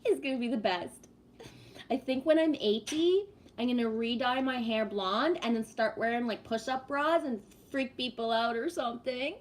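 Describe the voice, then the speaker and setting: A woman's voice: a little high, normal speed, slight background noise. A woman in her fifties hosting a livestream, chatting casually and greeting viewers while talking about spiritual topics. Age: 50s. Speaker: livestream host.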